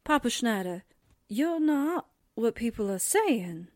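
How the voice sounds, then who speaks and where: A woman acts out an earnest young girl in an old radio play. Her high voice is slow and animated, pleading and a little hesitant. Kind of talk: 1940s radio drama acting.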